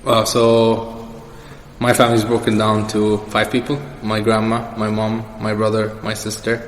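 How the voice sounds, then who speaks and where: A man's voice: fairly low pitch, monotone, measured pace. A young man answering questions as the guest in a casual personal interview. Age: young adult. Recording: echoey reverberant room with background noise.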